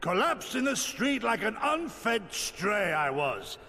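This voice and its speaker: Big hearty game warrior, booming n bright, swoops around, declaims all old-timey in a big echoey hall. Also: male voice, sing-song, slow pace, vocal fry, exaggerated voice. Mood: proud, hearty. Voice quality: booming, very loud voice. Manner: archaic, grandiloquent, declaiming. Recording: long hall reverb, ambient noise.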